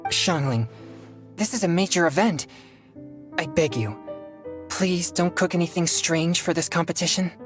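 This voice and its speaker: A man's voice: on the high side, measured pace, long pauses, lightly acted. A man voicing a polite, earnest young exorcist in a game cutscene. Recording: game cutscene with faint music.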